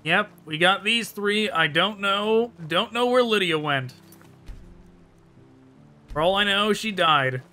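A man's voice: high voice, expressive, slow, pauses often, sharp tone. A man giving casual commentary as he plays through a game. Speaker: gaming YouTuber, let's player. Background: game music and sounds.

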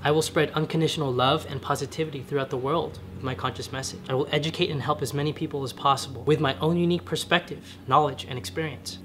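A young man with a slightly raspy voice, talking earnestly to camera in a casual vlog from his home garage. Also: a little high, a bit bright, a little hiss or hum. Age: young adult. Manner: earnest, gesturing with his hands while sitting on the floor. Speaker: vlogger. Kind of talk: casual spiritual vlog talk. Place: home garage, medium-sized, indoors.

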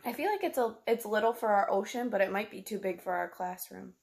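Woman in her twenties, creaky voice, children's read-aloud, sing-song simple explaining, question-led. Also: mid-range voice, a little fast. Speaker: teacher reading aloud. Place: small room at home, indoors.

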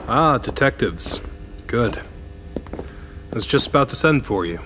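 A man portrays a police chief in a crime audio drama. He is authoritative and formal with quiet menace, his mid-range voice rising and falling over a faint hum. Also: mid-range voice, lively intonation, slow. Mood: composed. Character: authoritative, smooth-mannered male police chief.

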